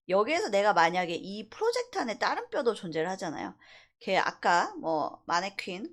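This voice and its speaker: A woman instructor giving an informal software tutorial, explaining step by step and stressing key points. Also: medium pitch. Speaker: university animation instructor.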